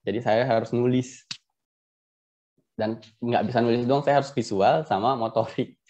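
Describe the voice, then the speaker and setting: A man's voice: medium pitch, steady tone, normal speed, a little mellow, dull audio. A man with an Indonesian accent chatting casually as an interview guest, self-deprecating and given to chuckling, telling personal stories.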